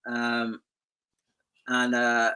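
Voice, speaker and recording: Bright-voiced man in his fifties. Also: mid-range voice, monotone, extremely slow, smooth, poor audio. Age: 50s. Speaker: podcast guest, graphic designer. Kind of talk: casual chat.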